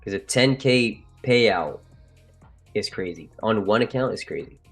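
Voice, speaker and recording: A man's voice: fairly low pitch, animated, unhurried, fairly bright tone, husky, soft background music. A man with a French accent hosting a live trading stream, chatting casually and joking with viewers. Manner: joking, laughs often, trails off and restarts. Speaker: livestream trading host.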